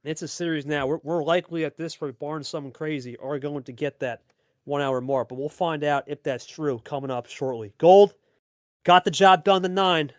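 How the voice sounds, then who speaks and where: A man's voice: on the high side, steady tone, normal speed, no echo, clean studio sound. A man hosting and commentating on a card game match, hyping it up and repeating phrases for emphasis. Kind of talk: live play-by-play commentary and player interviews.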